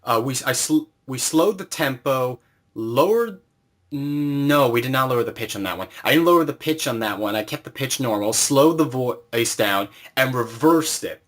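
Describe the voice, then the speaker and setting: A man's voice: medium pitch, lively intonation, normal speed, a bit bright. A man conducting a casual interview, explaining things informally as the interviewer. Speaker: interviewer and series creator.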